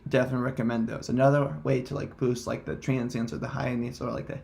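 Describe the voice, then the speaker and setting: A man presenting a casual software tutorial in hesitant, halting, unscripted phrasing. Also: mid-range voice, flat delivery, vocal fry.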